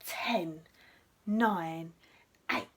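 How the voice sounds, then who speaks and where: A woman teacher reading a storybook aloud to young children in an expressive, dramatic way, with asides to the listeners. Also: fairly low pitch, drawn-out words, breathy edge.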